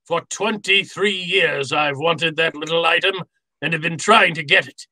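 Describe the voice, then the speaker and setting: An actor playing a genteel, wily fat crime boss in old-time radio drama style, with florid, courtly manners. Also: male voice, on the high side, bright, forceful tone, no echo. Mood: suave resolve. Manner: florid, courtly, unhurried, chuckling politeness. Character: fat, genteel, wily middle-aged criminal mastermind.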